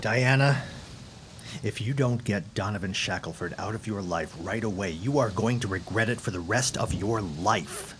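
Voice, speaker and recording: An actor playing an earnest, frustrated gentleman neighbor in a period audio drama, speaking formally and emphatically in a husky voice. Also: fairly low pitch, normal speed, a little hiss or hum, natural voice. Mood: resigned, stern.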